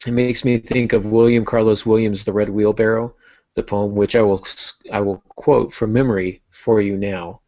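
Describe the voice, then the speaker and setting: A man's voice: on the low side, little pitch movement, moderate pace, darkish tone, no echo. A man co-hosting a casual podcast discussion, speaking emphatically and repeating key phrases over an online call. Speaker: podcast co-host. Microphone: online video call. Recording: dull, lo-fi internet call audio.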